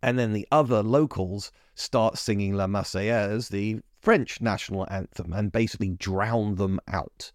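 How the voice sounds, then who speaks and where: A man with a fairly low voice hosts a casual history podcast. He talks in an easy, chatty way, often restarting mid-thought and stressing words he cares about. His voice is close and dry, with no room echo. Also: fairly even intonation, moderate pace, few pauses. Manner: chatty, self-interrupting, emphatic. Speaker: podcast host.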